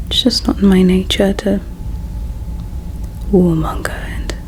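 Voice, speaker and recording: A woman playing a gentle, caring alien in an ASMR roleplay, speaking in a slow, hushed, tender and wistful way with a breathy quality. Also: medium pitch, fairly even intonation, close to an everyday voice. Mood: wistful, reflective. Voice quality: breathy whisper with creaky edges. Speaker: ASMR performer. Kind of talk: ASMR roleplay, whispered and soft-spoken.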